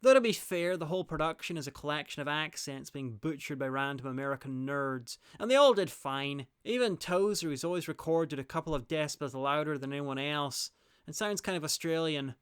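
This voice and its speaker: A man reads scripted review narration in a wry way. The voice is smooth and clear, pitched a little high for a man. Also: a little fast, fairly bright tone.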